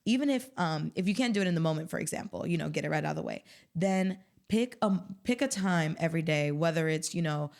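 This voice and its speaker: A woman's voice: sits low, animated, fast talker, creaky, darkish tone. A woman appearing as a guest on a casual podcast, giving practical advice and filling her talk with "like" and "um". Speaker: podcast guest, marketing specialist.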